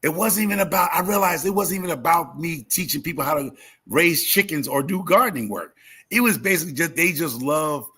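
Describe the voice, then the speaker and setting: A man's voice: on the high side, fairly quick, pushed and bright, slightly rough. A talkative man in his fifties chatting casually as a livestream host, emphatic and repeating phrases as he tells motivational stories. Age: 50s. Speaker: YouTube gardening creator and live host.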